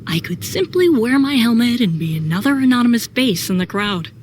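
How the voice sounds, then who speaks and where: A woman's voice: on the high side, very animated, brisk, a little mellow. A woman narrating a sci-fi audio drama in first person as a troubled, brooding soldier. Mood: brooding, wary. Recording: clear background noise and ambience.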